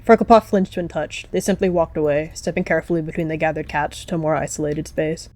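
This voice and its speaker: A woman's voice: deep voice, steady tone, fast talker, darkish tone. A woman reading scripted story narration for an animal fantasy roleplay.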